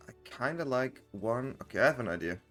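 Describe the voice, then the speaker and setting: Male streamer with a non-native accent, relaxed gameplay talk with faint music under it. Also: mid-range voice, fairly quick. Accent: unplaced non-native accent. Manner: chatty, loose, with many fillers and restarts. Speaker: content creator and streamer. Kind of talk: casual gameplay commentary.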